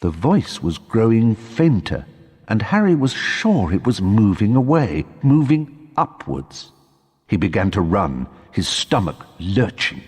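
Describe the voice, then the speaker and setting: A man acting as storyteller in a video game, with a measured, dramatic scripted delivery. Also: on the low side, very animated, a little mellow, breathy edge, no echo, exaggerated voice. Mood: suspenseful. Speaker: narrator.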